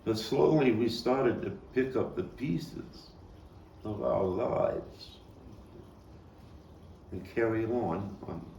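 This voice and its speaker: Actor as slow, halting Brooklyn storyteller man, roomy recording. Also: fairly low pitch, lively intonation, warm tone, lo-fi sound. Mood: mournful, wistful. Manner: halting, deliberate, with repeats and weighty pauses. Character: Brooklyn man recalling a haunted, violent 1950s boyhood. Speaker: actor and playwright reading his own monologue. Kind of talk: dramatic monologue at a table read. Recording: roomy, distant mic with faint background noise.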